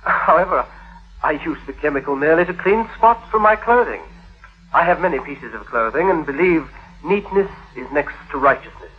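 An actor playing a prim, pedantic gentleman accused of a crime, protesting innocence in a precise, pompous, formal 1950s radio manner. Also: a little high, animated, normal speed, husky. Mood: earnest, prim. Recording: muffled vintage radio recording.

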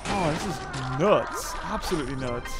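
Young guy yelling through a game, pitch all over the place. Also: normal speed, over music. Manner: excitable, shouts and laughs at play. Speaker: gaming youtuber. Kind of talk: excited gameplay commentary.

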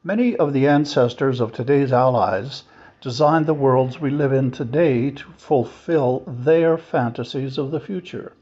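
A man's voice: mid-range voice, measured pace, darkish tone, breathy edge, muffled recording. A man reading quoted passages from a script in a church service.

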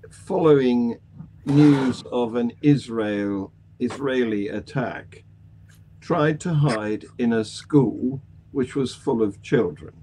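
Slow-talking older man hosting a panel. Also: mid-range voice, warm tone, low-quality recording. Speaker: panel show host. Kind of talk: presenting news items to a panel. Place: small room at home, indoors. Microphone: video call microphone. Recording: video call with light humming noise.

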